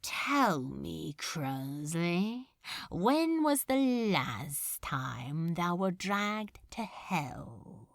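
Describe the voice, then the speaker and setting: A woman acts out a coldly courteous demon lord from a storybook, dragging each phrase out very slowly. He is rasping and strangely accented, leaning hard on odd words as he toys with his listener in a dry, close recording. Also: on the low side, very animated, no room sound, voice pushed down, heavily acted. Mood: cold, mocking. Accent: strange, unplaceable. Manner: slow, forceful, unpredictable stress on words, toying. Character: menacing, authoritative male demon lord, coldly courteous, archaic speech.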